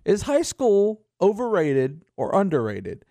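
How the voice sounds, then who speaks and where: A man's voice: high voice, wildly swooping pitch, unhurried, dark tone, dry recording. A man co-hosting a podcast in casual, opinionated chat, speaking emphatically and repeating phrases for punch. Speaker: podcast co-host.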